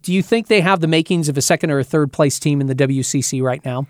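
A man hosts a sports podcast in a chatty, friendly way and trips over his words as he reaches for a question. His voice sits fairly high for a man, and the recording is close and dry. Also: fast talker, dry recording. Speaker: podcast host. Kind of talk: sports radio interview.

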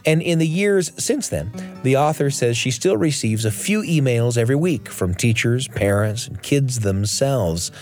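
A man's voice: mid-range voice, expressive, moderate pace, a little mellow, soft background music. A man hosting a radio show, reading scripted narration. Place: studio.

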